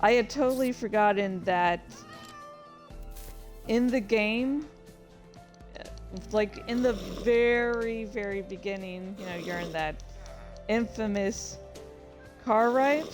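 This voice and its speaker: A woman giving casual, rambling commentary as a writer, halting and self-deprecating, with some swearing. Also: medium pitch, soft background music. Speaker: writer reading and commenting on own story.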